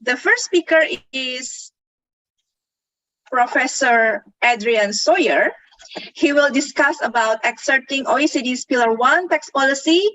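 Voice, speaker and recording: Bright-voiced Indonesian woman moderating. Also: fairly high pitch, poor audio. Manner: formal, reads introductions carefully. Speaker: seminar moderator. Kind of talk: formal webinar moderating. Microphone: video call.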